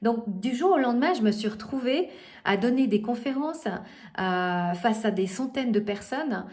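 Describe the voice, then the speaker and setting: A woman's voice: a little high, expressive, a little slow, a little mellow. A middle-aged woman life coach giving a motivational coaching talk, earnest and hesitant, with many fillers and restarts. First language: not French.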